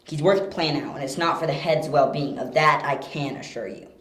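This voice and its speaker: A woman playing a sharp, curious young detective in an amateur audio drama, terse and self-assured. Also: deep voice, brisk, natural voice. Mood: alarmed, urgent. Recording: roomy, echoey home recording.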